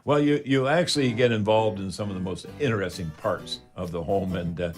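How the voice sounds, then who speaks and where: A man's voice: lowish voice, a little fast, soft background music. Folksy older Canadian man hosting radio. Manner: folksy, rambling, uses catchphrases. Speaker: home improvement show host. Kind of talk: chatty radio show hosting.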